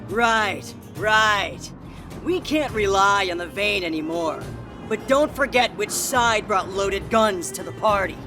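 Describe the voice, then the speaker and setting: Casting: brash female thug for a game; mid pitch, slow, sneering, over music. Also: lively intonation. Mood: menacing. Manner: sneering, colloquial. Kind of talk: video game character acting.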